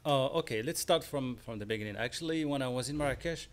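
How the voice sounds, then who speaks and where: A man in his forties with a Moroccan accent speaks into a studio microphone, his voice smooth and clean. He talks haltingly in casual interview chat, often restarting and hunting for the right word. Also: medium pitch. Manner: halting, searching for words, many restarts. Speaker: guest composer. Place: small studio with screens. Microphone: studio mic on stand, headphones.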